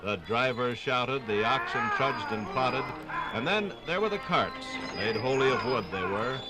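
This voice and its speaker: Music plays clearly behind a male narrator of a vintage radio documentary. His voice sits at a medium pitch, and he builds phrases dramatically with deliberate pauses. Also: lively intonation.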